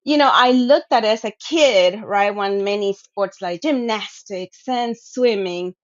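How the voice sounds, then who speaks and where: A woman, speaking earnestly and reflectively about her past, pausing on fillers as she searches for words. Her voice sits mid-range and comes through a dull, lo-fi video call line. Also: medium pitch. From Venezuela. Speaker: guest speaker, sport shooter. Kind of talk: casual personal storytelling. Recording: muffled lo-fi call audio.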